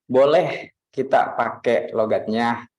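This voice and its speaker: A man from Makassar, Indonesia, teaching an online English lesson in a relaxed way, with lots of fillers and repeated phrases. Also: medium pitch, slow, reverberant.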